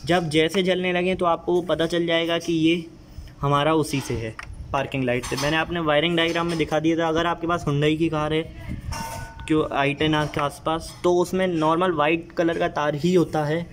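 A man's voice: fairly high pitch, level tone, normal speed, a little hiss or hum. A young man casually explaining a DIY car wiring job step by step, talking to viewers like friends while filming on a phone beside his car. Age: young adult. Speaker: DIY car modification vlogger. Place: outdoors beside a car, at the engine bay. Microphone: handheld phone camera.

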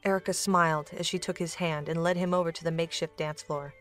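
A woman narrator reads a scripted story in a slightly low voice. Soft music plays behind her narration. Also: steady tone, quick pace.

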